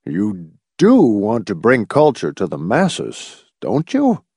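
A man's voice: medium pitch, very animated, a little slow, gravelly, a little mellow, no echo, clean studio sound, full character voice. Man playing a timid, fatherly old scientist. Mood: uncertain. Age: older. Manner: hesitant, stammering, genial.

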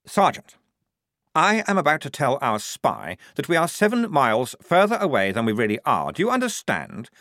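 A man's voice: on the high side, very animated, measured pace, a bit bright, no echo, clean studio sound. A man reading a story, voicing a pompous, fussy young male lieutenant in a lofty, overly precise manner.

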